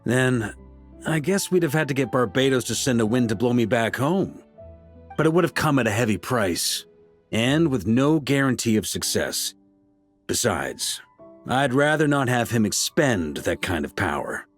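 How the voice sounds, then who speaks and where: An actor playing a relaxed, good-humored grandmaster knight, speaking in an easygoing, wry way. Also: male voice, medium pitch, moderate pace, faint music behind, close to an everyday voice. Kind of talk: game voice acting.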